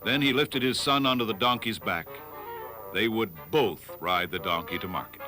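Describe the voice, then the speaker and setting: A man's voice: lowish voice, moderate pace, sharp tone, soft background music. A man narrating a scripted fable in storybook style.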